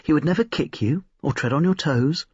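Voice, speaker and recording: A man's voice: on the high side, fairly quick, a little mellow, breathy edge, pitched above the performer's own voice. A man voicing critical, enthusiastic young society women in a storybook reading, in a gossipy, emphatic manner. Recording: roomy, slightly dull recording.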